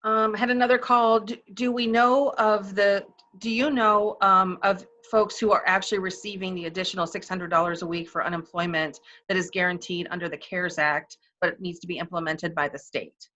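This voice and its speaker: A woman's voice: mid-range voice, flat delivery, moderate pace, sharp tone. A woman moderating a conference call, informally relaying questions and comments from the group. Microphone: conference call line. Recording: dull, lo-fi conference call audio.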